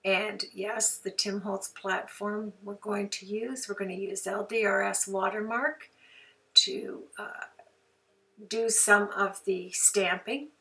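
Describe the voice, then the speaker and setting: A hoarse woman talks through a craft tutorial in a chatty, folksy way. Her voice has a gravelly fry under a bright, sharp edge, and she sits a little back from the mic. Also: mid-range voice, steady tone, takes it slowly, recorded from a distance, rough recording. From Canada. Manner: chatty, folksy, homey phrases. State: hoarse from flu. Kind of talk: card-making tutorial narration.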